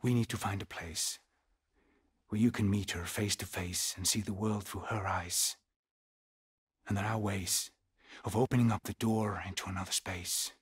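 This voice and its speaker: A man playing a weary, haunted Norse settlement leader in a video game, speaking gravely and deliberately in a husky, breathy voice. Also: lowish voice, steady tone, no room sound, clean studio sound. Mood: solemn, mystical. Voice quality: husky, breathy, often near a whisper. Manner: slow, deliberate, grave, with pauses. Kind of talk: dramatic video game acting.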